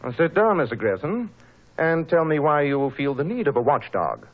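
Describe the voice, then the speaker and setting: An actor playing a shrewd, composed gentleman detective in an old radio drama, precise and inquisitive. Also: on the high side, big pitch swings, normal speed, low-quality recording. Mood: composed, probing.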